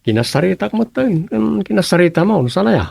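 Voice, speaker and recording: A principled husband in an Ilocano radio comedy drama. His voice is on the high side for a man and quite creaky, recorded dry. He explains himself earnestly, a little defensively, with a Filipino Ilocano accent. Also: very animated, fast, a little mellow, no room sound, exaggerated voice. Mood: questioning.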